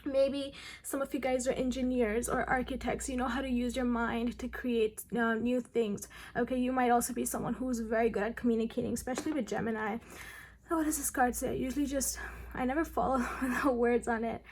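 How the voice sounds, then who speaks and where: A young woman speaks with a hoarse, raspy voice, slightly high and gravelly, after losing her voice. She chats directly to her viewers through a casual tarot reading, filling pauses with ums, and faint noise sits under the recording. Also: little pitch movement, a little mellow. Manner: chatty, addresses viewers directly with frequent ums. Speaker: tarot card reader.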